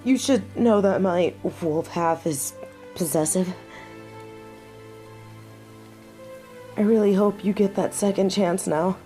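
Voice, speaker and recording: A young woman playing a devoted half-wolf heroine in a romantic audio drama, speaking earnestly and tenderly with halting pauses, as if to one loved person. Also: fairly low pitch, warm tone, soft background music, close to an everyday voice. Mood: playful, reassuring. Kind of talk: romantic audio roleplay acting, intimate one-sided dialogue.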